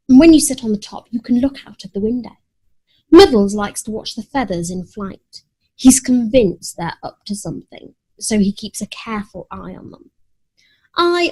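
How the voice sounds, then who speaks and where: A woman voicing a prim, dramatic, easily offended pet cat who narrates a children's story in an expressive, haughty manner. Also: on the high side, full character voice, low-quality recording.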